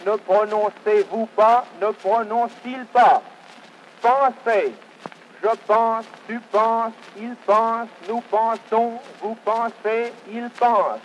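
A man teaching a language lesson, reciting pronunciation and verb drills in a measured, repetitive way. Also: high voice, a little hiss or hum, low-quality recording.

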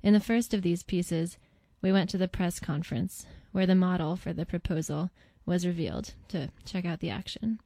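A woman's voice: on the low side, steady tone, normal speed, few pauses, warm tone, dry recording. A woman reading a scripted introduction as a documentary narrator.

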